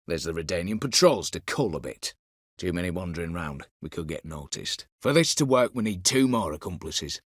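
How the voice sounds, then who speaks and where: Gravelly, scheming heist leader. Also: male voice, fairly low pitch, sharp tone, no room sound, studio quality, heavily acted. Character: scheming, vengeful disinherited nobleman turned heist leader. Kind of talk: video game character dialogue.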